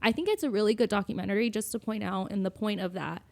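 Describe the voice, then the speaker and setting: A woman's voice: mid-range voice, animated, fast talker, creaky. A woman co-hosting a podcast in an earnest, emphatic, conversational style, often checking in with "you know". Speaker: podcast co-host. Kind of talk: casual conversational podcast discussion.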